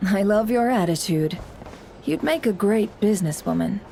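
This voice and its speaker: A woman playing a sly, smooth-talking businesswoman in a video game, coy, polite and measured. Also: medium pitch, lively intonation, mellow, slight background noise. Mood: coy, teasing.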